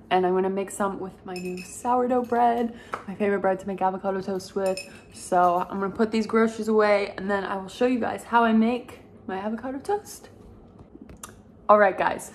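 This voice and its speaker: A woman's voice: medium pitch, slight background noise. A young woman chatting casually to the camera about food at home, upbeat and gushing. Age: young adult. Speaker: lifestyle vlogger. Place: home kitchen, indoors.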